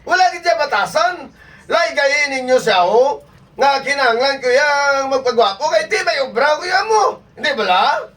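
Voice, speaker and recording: A man's voice: extremely high pitch, lively intonation, moderate pace, a bit bright. A man acting a vain, whining husband in a comic skit, stammering and complaining with an Ilonggo Filipino accent. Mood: whining complaint. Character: vain, whining husband obsessed with his good looks. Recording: distant microphone.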